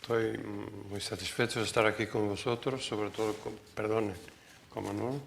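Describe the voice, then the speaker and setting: An older man from Portugal, a Portuguese native speaker with a Portuguese accent, giving a public talk as a guest architect, halting and self-correcting, with wry humor. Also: lowish voice, fairly even intonation, vocal fry, a little hiss or hum. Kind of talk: public talk, reading a prepared text. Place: lecture hall.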